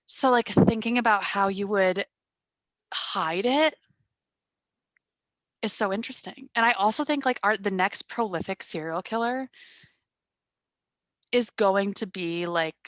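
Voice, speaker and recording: A woman in her twenties hosting a podcast on a headset, chatting casually in a calm, fairly monotone voice with lots of fillers and deadpan humor. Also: medium pitch, unhurried, pauses often, no room sound. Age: 20s. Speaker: podcast host. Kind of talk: casual chat. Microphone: gaming headset.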